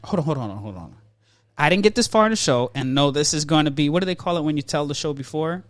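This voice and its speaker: A man's voice: a little high, lively intonation, quick pace, dry recording. Slangy man hosting, close dry sound. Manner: slangy, repeats phrases for emphasis. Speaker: podcast host. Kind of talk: casual chat.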